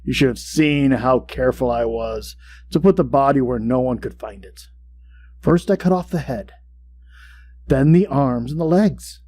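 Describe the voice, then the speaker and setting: A man playing an obsessive, boastful mad murderer who narrates a gothic horror tale in a dramatic, deliberate way. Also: mid-range voice, natural voice. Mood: cold satisfaction. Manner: dramatic, deliberate, swinging between hush and outbursts. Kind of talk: theatrical gothic horror storytelling. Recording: clear background hum and noise.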